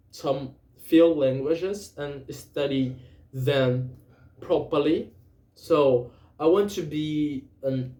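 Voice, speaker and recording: Man, Brazilian accent, young adult, Portuguese native, slow deliberate pace, hesitant with fillers, echoey room. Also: medium pitch, dark tone. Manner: hesitant, many fillers and self-corrections. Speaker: vlogger. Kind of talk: casual spoken journal, language practice.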